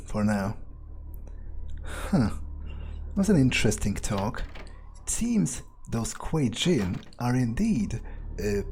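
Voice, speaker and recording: Man playing a slow, mellow, sardonic vampire. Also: mid-range voice, a little hiss or hum. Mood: musing. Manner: wry, unhurried, hesitant musing, casual swearing. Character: sardonic, guarded male vampire, curious and blunt. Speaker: let's player. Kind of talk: in-character game roleplay, thinking aloud. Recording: faint music behind the voice. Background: faint game music.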